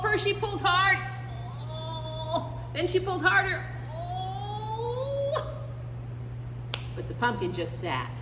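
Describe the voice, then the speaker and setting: A woman librarian reading a picture book aloud to children, slow and animated, with big swings in her voice. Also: sits high, roomy sound, in a large hall, noisy background. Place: library room.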